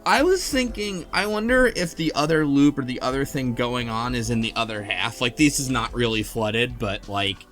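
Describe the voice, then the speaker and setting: A man's voice: medium pitch, normal speed, hardly any pauses, a bit bright. A man in a casual discussion of a game, tentative and rambling as he thinks aloud. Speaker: podcast panelist. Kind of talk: casual chat about a visual novel. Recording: faint music behind the voice. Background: faint music.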